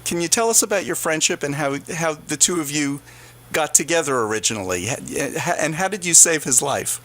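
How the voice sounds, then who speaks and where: A man hosting a conversational radio interview, asking questions in a somewhat halting way. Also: fairly high pitch, animated, fairly bright tone. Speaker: radio host. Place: radio studio.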